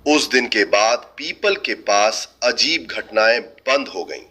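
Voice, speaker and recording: Very bright, husky male horror narrator. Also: on the low side, slow. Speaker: storyteller narrator. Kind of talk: dramatic scripted horror-story narration.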